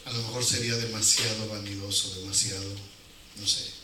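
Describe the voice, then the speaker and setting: A man's voice: fairly low pitch, little pitch movement, fairly quick. Male preacher, Mexican accent, colloquial and emphatic with repetition; distant, heavily reverberant sound. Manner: colloquial, rhetorical questions, repeats phrases for emphasis. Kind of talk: informal evangelical sermon. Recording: very echoey hall, distant, noisy.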